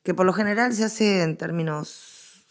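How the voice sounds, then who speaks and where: Low, creaky-voiced Argentine woman explaining. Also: unhurried. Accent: Rioplatense Argentine. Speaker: academic guest interviewee. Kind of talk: conversational expert interview.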